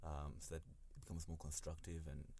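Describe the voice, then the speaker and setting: A young man whose first language is Swahili, a guest on a casual podcast, speaking hesitantly with many pauses and restarts. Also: a bass voice, flat delivery, dark tone, a little hiss or hum.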